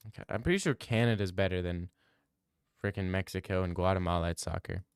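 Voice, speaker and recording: Smooth-voiced young man streaming casually. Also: deep voice, fast, no echo, clean studio sound. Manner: loose, profane banter, reads chat aloud and riffs. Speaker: streamer answering chat. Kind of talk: casual live-stream chat.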